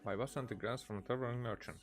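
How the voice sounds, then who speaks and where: A man's voice: medium pitch, steady tone, quick pace, soft background music. A man doing casual live commentary as a game streamer, reacting aloud and repeating himself.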